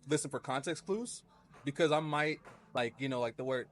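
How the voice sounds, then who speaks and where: A man hosting a casual chat, relaxed and laughing often, with plenty of filler words. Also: mid-range voice, moderate pace. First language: English. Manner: laid-back, laughs often, many fillers. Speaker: host. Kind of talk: casual conversation.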